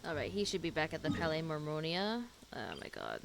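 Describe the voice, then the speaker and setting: A woman's voice: deep voice, big pitch swings, brisk, gravelly, background noise. A woman game streamer chatting casually as she reacts to a playthrough. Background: game music.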